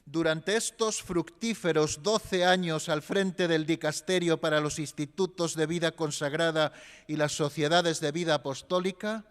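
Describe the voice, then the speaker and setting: A man's voice: fairly high pitch, smooth. A man presenting a speaker at a church event, reading a solemn, prepared introduction. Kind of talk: formal introduction. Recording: long reverb of a large hall.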